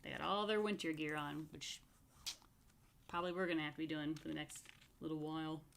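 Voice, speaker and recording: A woman's voice: sits low, vocal fry, slight background noise. A woman rabbi reading a picture book aloud to children, expressively stretching key words and adding chatty asides. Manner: expressive, dramatic emphasis and drawn-out words. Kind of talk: animated storytime read-aloud with chatty asides.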